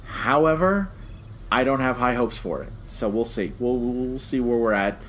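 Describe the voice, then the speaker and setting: A man's voice: mid-range voice, normal speed, a bit bright. Emphatic man reviewing, noisy recording. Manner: emphatic, repeats phrases for stress, checks with "okay?". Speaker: podcast co-host. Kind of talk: opinionated review discussion.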